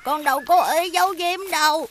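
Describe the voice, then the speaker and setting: A woman voicing a timid, defensive village boy in an animated fairy tale. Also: sits high, fairly quick.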